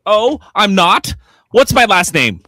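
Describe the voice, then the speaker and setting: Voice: mid-range voice, big pitch swings, slow pace, sharp tone, dry recording, clean studio sound, exaggerated voice. A performer playing a panicky, whiny, fatalistic bit-part actor in a comedic live script read. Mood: nervous. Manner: anxious, whiny, escalating rambling.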